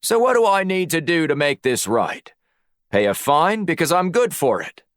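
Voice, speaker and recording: A male audiobook narrator acts out a brash spy bluffing as an Australian. The voice is raised and very lively, with a breezy, matey, protesting manner. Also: on the high side, moderate pace, dry recording, clean studio sound, voice pushed up. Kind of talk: audiobook character dialogue.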